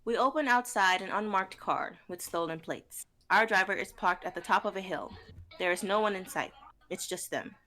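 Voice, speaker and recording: A woman's voice: mid-range voice, normal speed, bright, forceful tone, faint music behind. A woman in her twenties reading stage directions and introducing a script as a performer on a group stream. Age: 20s. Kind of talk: scripted narration and casual chat.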